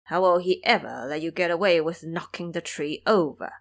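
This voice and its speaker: A woman's voice: on the low side, moderate pace, pitched below the performer's own voice, lightly acted. A woman voicing a dignified, formal male immortal lord who is courteous but stern, in an audio drama. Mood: scolding.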